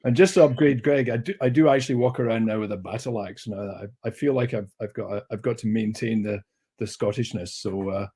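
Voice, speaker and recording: A man's voice: fairly low pitch, a little fast, slightly rough. A man chatting as a guest on a video call, telling stories in a big, projecting voice with self-mocking humor. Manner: self-mocking, animated storyteller. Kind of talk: casual chat.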